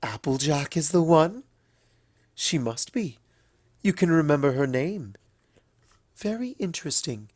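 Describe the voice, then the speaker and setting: A man voicing a wise, fond and teasing elder pony princess in a storybook reading, gentle and knowing. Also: a little high, big pitch swings, a little slow, pauses often, slightly rough, no room sound, clean studio sound, full character voice.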